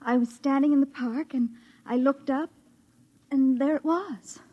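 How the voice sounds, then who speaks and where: A woman acting an earnest, dreamy young woman who speaks haltingly and breathily in a 1950s radio drama. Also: high-pitched. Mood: wonderstruck. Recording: dull, muffled vintage radio recording.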